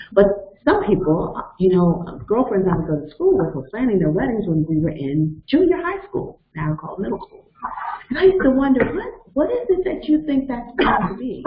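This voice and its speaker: A woman in her fifties leading a motivational webinar, explaining step by step and telling personal stories in an earnest way. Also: fairly low pitch. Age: 50s. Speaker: webinar facilitator and coach. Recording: echoey, phone-like narrow sound.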